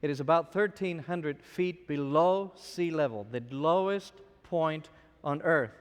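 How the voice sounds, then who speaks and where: A man's voice: on the high side, unhurried, clear, resonant tone, long reverb tail. A man from Argentina, a scholar guest, telling chatty stories with frequent restarts. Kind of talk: anecdotal lecture.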